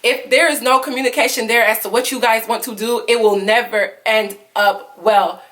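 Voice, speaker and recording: A young woman vlogging candid relationship advice in an earnest, confiding way, full of fillers, with a lower voice for a woman and emotion close to tears. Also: fairly even intonation, moderate pace, fairly bright tone.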